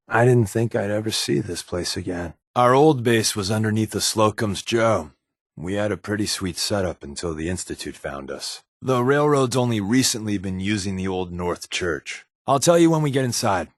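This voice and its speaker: An actor voicing a sardonic, wisecracking secret agent companion in a video game, with a dry, joking manner. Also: male voice, on the low side, no echo. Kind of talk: game voice acting.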